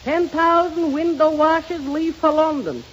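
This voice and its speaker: An actress playing a cheeky, dizzy newspaper office assistant who wisecracks in a 1930s radio comedy. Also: female voice, high-pitched, fairly even intonation, measured pace, lo-fi sound. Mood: sassy, deadpan punchlines. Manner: sassy, wisecracking. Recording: noisy old 1930s radio recording.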